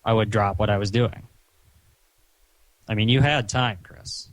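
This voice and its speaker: A man talking on a recorded phone call in a stern, chiding, halting way, padding his sentences with "you know". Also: lowish voice, dry recording. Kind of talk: phone conversation, reproachful lecturing.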